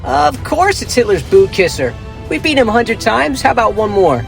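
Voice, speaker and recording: An actor playing a boyish, folksy, plucky young soldier sidekick in an audio drama. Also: male voice, very high-pitched, sing-song, moderate pace, vocal fry, music in the background, heavily acted. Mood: eager.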